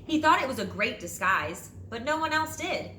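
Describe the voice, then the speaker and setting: A woman teacher reading a children's storybook aloud in an expressive, dramatic way with drawn-out phrases. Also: fairly high pitch. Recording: roomy echo, light background noise.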